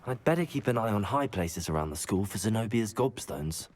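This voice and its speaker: An actor playing a polite, helpful British teenage student in a video game, measured and well-spoken. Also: male voice, fairly low pitch, expressive, faint music behind, lightly acted. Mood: musing. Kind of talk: video game cutscene acting.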